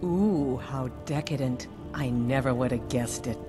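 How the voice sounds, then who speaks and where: An actress playing an eager, fawning castle chef who talks in a hesitant, formal way, as dialogue in a fantasy video game. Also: female voice, extremely low pitch, measured pace. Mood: deferential, unsure. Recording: hall reverb under game music.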